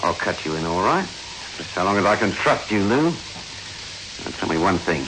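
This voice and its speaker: A menacing male gang boss has a low voice that swings widely with sarcasm and threat. He gives commanding orders in 1960s radio style on a hissy old recording. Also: big pitch swings, normal speed. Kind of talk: 1960s radio suspense acting.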